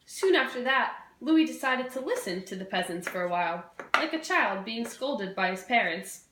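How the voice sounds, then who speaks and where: A woman's voice: a little high, lively intonation, moderate pace, noisy background. Young woman presenting in an echoey room. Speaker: student presenter. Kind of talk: scripted educational puppet show narration.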